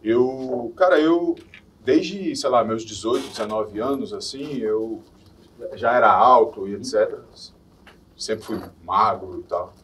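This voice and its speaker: A man in his forties from Minas Gerais talks as a podcast guest in a low, easygoing voice. He laughs things off and lets his sentences trail off softly. He sits back from the microphone, so he sounds a little distant. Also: measured pace. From Minas Gerais, Brazil. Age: 40s. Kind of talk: casual interview chat. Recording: distant mic.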